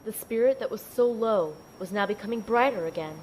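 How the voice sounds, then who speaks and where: Earnest woman as a heroine narrator, mid-pitched. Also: fairly quick, darkish tone, slight background noise, natural voice, low-quality recording. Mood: determined. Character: earnest, determined young woman heroine narrating her own adventure. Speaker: narrator and lead character. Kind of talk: scripted first-person audio drama narration.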